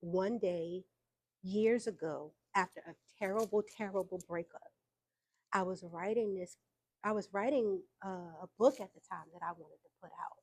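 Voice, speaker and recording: A young adult woman, telling her story as an interview guest in a casual, relaxed way with fillers and easy laughs. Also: medium pitch, moderate pace, breathy edge. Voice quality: soft voice. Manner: relaxed, frequent fillers, laughs between points.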